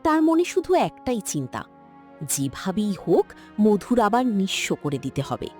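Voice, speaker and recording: A woman narrator telling a scripted cartoon story in a dramatic, expressive way. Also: medium pitch, moderate pace, soft background music.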